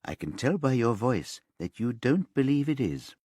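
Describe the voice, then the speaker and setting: A male narrator plays a calm, steady man in a thriller audiobook. He speaks gently and deliberately to someone troubled, in a very dry recording. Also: mid-range voice, no room sound. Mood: calm, reassuring. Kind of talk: audiobook character dialogue.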